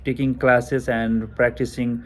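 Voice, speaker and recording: A man's voice: mid-range voice, little pitch movement, unhurried, a little hiss or hum. A young man from Nepal with a Nepali accent, answering speaking exam practice questions hesitantly with many fillers and restarts, recorded on a Bluetooth headphone mic. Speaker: test taker. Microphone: headphone mic over Bluetooth to phone.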